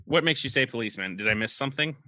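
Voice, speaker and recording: Male podcast co-host: sarcastic, rambling jokes, bright tense tone, dry close mic. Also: mid-range voice, lively intonation, very fast, no room sound. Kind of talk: casual comedic chat reviewing a movie poster.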